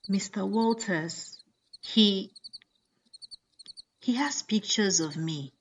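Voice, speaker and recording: Frightened schoolgirl confiding slowly , played by a woman. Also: medium pitch, slight background noise. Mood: frightened, despairing. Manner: halting, tearful, secretive pleading. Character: frightened, trapped older schoolgirl confiding in secret.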